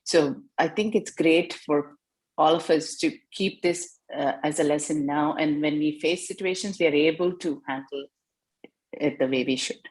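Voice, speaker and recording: A woman speaks up in a group video call to share an earnest, rambling comment with her teacher. Her voice sits quite low for a woman. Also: normal speed, darkish tone, rough recording. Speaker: student in the group.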